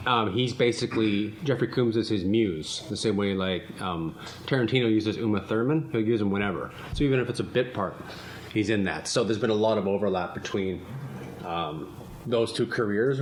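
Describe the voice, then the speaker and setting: A man's voice: fairly low pitch, normal speed. A man hosting a casual podcast among friends, rambling and laughing often. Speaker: podcast host. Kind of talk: casual chat. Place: room with friends. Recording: echoey room, faint ambient noise.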